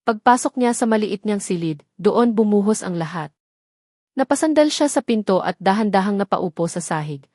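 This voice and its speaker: A woman narrator with a Filipino accent delivers a scripted, dramatic story. Her voice sits at a middle pitch. The sound is close and dry, with no room around it. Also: animated, moderate pace, a little mellow, no echo.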